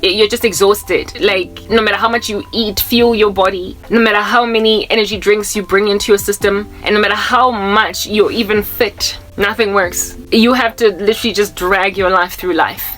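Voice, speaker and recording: A South African woman in her thirties preaches in a loose, rambling way, repeating phrases and dropping in Zulu words. Her voice sits at an ordinary middle pitch. Faint music plays behind her. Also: fairly even intonation, normal speed, few pauses, a bit bright. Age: 30s. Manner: rambling, repeats herself, mixes in Zulu words, groans to mimic old people. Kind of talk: casual spiritual talk to camera.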